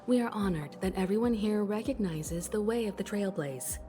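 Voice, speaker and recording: A woman's voice: medium pitch, normal speed, warm tone, faint music behind, natural voice. An actress playing a gracious, dignified female navigator and envoy in a video game, speaking politely and formally. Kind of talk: scripted video game voice acting.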